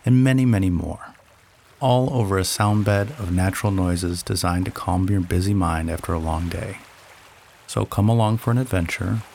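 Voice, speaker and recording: A man with a very low voice delivers a calm, scripted podcast promo. His reading is gentle and soothing, with a faint background hiss.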